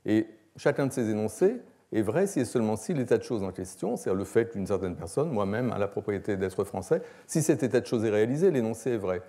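Mellow-voiced professor in his sixties. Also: fairly low pitch, expressive, fast talker, hardly any pauses. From France. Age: 60s. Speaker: philosophy professor. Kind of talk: academic lecture. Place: lecture hall, at a lectern.

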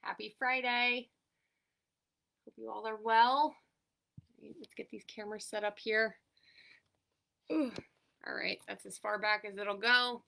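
A woman's voice: a little high, fairly even intonation, gravelly, sharp tone. A young woman, an occupational therapist, leading an exercise class in an upbeat, encouraging way, congested from allergies and breathing a little heavily. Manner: upbeat, encouraging, counts down holds slowly. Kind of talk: guided workout instruction. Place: small room at home, in front of a banner backdrop. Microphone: camera mic from across the room. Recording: voice from a distance off a camera mic.

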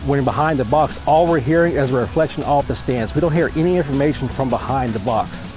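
A man explains technical points informally. His pitch is medium, and music plays clearly behind his voice. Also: fast.

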